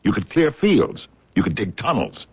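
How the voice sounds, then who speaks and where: An actor playing a defiant, sardonic American scientist in a radio drama, with deliberate, dramatic delivery. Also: male voice, lowish voice, sing-song, slightly rough, exaggerated voice. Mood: measured, accusing. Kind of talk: 1970s radio drama acting. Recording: dull, lo-fi old radio broadcast.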